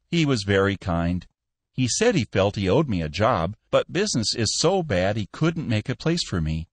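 A man's voice: medium pitch, dry recording, pitched above the performer's own voice. A man reading an audiobook, voicing a cheerful young male war veteran looking for a job, earnest and upbeat.

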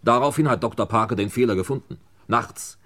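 An actor playing a calm, methodical police inspector in a 1960s German radio crime drama, speaking deliberately and with authority. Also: male voice, medium pitch, lightly acted. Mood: explaining.

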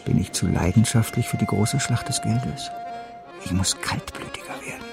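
A proud, restless financier brought low in a radio play. He is curt and commanding, with a bitter, brooding ambition. Music plays behind his medium-pitched voice. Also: moderate pace, creaky. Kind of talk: German radio drama acting.

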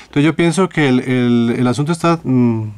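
A man's voice: medium pitch, moderate pace, darkish tone, clean tone. A man with a Costa Rican accent in a reflective radio discussion, searching for words and repeating phrases as he goes.

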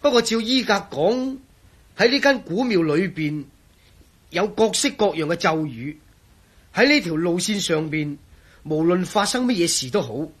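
High-pitched, proud engineer. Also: male voice, lively intonation, normal speed, fairly bright tone, slightly rough, full character voice. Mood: careful, warning. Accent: Hong Kong Cantonese. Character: proud, excitable male engineer, talkative grumbling companion. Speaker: actor in a radio drama. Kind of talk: radio drama dialogue.

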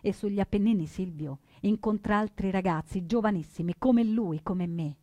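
An actress playing a proud young woman partisan in her twenties, telling her story in measured, earnest theatrical narration. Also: mid-range voice, mellow, no echo, lightly acted. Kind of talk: scripted theatrical first-person narration. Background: plucked guitar music.

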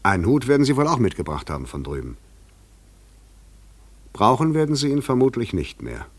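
Sardonic policeman, wildly swooping pitch. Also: very animated, a little fast. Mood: mocking. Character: sardonic, probing harbour policeman. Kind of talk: German radio play acting.

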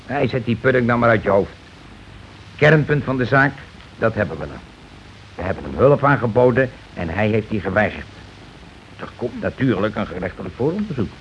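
A scheming, grumbling husband of the working class in an old radio play. His voice sits fairly low, and he blusters with coarse self-importance. The recording is muffled, with faint hiss. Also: big pitch swings, a little fast. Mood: domineering.

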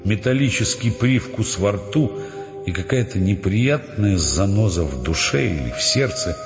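Lowish male storyteller in long reverb. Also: animated, a little slow. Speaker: narrator. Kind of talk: dramatized literary narration for an audio play. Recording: reverberant, dull sound, music underneath.

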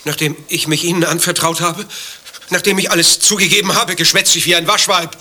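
A man's voice: high-pitched, steady tone, a little fast, sharp tone, a little hiss or hum. An actor playing an edgy, secretive and irritated man in a satirical radio drama, speaking in a tense, defensive, clipped way. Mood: defensive, pleading. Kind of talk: dialogue-driven satirical radio drama acting.

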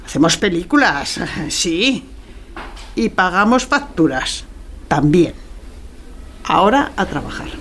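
An actress playing a brisk, bossy woman executive producer in radio theatre, speaking with cutting, emphatic authority. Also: fairly low pitch, big pitch swings, a bit bright, a little hiss or hum, heavily acted. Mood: businesslike, commanding.

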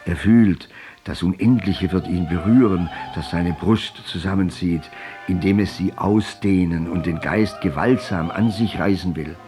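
Male narrator for literary radio drama: low register, formal and elevated delivery, music clearly behind. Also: unhurried.

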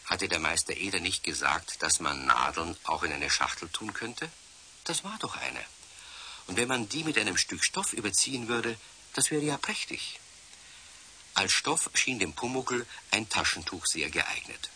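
Casting: male narrator for a children's radio story, low voice, very bright crisp edge, faint tape hiss. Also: very animated, normal speed.

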